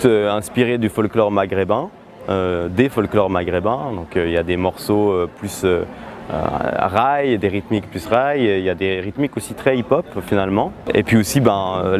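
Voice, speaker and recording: A young man, answering interview questions about his music in a relaxed, hesitant way with many filler pauses. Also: on the low side. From Switzerland. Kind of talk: casual interview talk.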